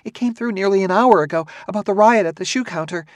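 A man voicing a nervous, worried wife in an audiobook, with a breathless, hurried, fretful manner. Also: on the high side, sing-song, no echo, pitched above the performer's own voice. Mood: hurried worry. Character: nervous, anxious wife, smiling politely through worry. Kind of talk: audiobook character voice.

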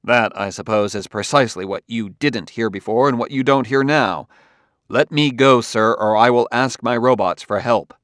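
A man voicing a blunt, determined male investigator in an audiobook, speaking formally with a sharp, forceful edge. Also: mid-range voice, a little fast, few pauses, clean tone, no room sound, studio quality, lightly acted. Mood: cutting, impatient. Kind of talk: audiobook character dialogue.

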